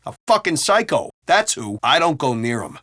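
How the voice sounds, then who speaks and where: An actor playing a foul-mouthed, sardonic and excitable American city cop in a video game. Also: male voice, a little high, normal speed, no room sound, studio quality. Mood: irritated, uneasy. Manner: animated, sardonic, profane cop banter. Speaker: video game police officer. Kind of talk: game voice lines, banter and barks.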